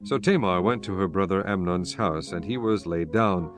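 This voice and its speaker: A man with a lowish voice narrates scripture. His delivery is formal and solemn, like a storyteller, with music underneath. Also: normal speed. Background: background music.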